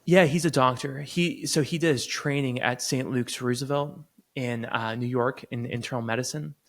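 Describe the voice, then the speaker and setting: A man in his thirties who grew up in Florida, a surgeon talking relaxed and easygoing as a podcast guest. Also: medium pitch, normal speed. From United States, grew up in Florida. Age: 30s. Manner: relaxed, easygoing, laughs readily. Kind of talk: casual interview chat.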